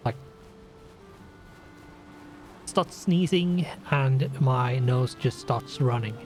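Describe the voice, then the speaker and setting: A man with a Scandinavian accent, sniffly from a runny nose, talking slowly through a game he plays. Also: medium pitch, a little mellow, soft background music. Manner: slow, deliberate, with restarts and fillers. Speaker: gaming channel host. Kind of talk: casual let's play commentary.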